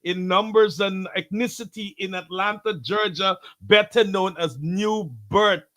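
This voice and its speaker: Bright high-voiced pastor host. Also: male voice, steady tone, unhurried. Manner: expansive, preacher-like welcome with repeated phrases. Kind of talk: live talk show introduction.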